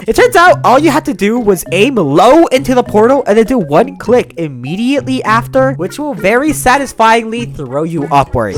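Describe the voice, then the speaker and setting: A young man with a high voice for a man narrates his gaming vlog in an energetic, playful, self-mocking way. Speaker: gaming YouTuber and streamer. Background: background music.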